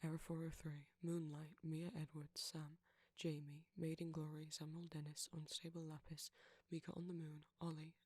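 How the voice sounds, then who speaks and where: A man with a soft, breathy voice, reading a scripted creator's outro. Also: a little high, completely flat delivery, normal speed, hardly any pauses, no room sound. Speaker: audio creator.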